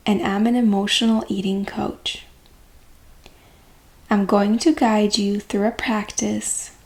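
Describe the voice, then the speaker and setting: Slow, gentle woman guiding meditation. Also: mid-range voice. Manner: gentle, calming, unhurried delivery with long pauses. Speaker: emotional eating coach and meditation guide. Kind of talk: guided meditation.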